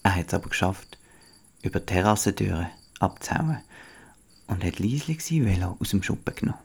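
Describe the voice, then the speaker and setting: A man narrates a scripted story for children in a deep voice. He speaks with a Swiss German accent, as the storyteller of an audio play. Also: expressive, brisk, creaky, slightly rough.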